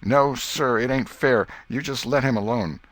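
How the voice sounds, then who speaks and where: Man as an indignant schoolboy, raised pitch. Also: moderate pace, a bit bright, no echo, lightly acted. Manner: insistent, colloquial squabbling. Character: indignant, possessive schoolboy pal, quick to anger.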